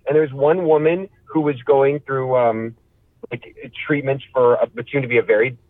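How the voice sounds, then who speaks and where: A man telling a casual, animated comic story in a halting, rambling way, acting out bits of dialogue. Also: mid-range voice, dry recording, phone-quality sound.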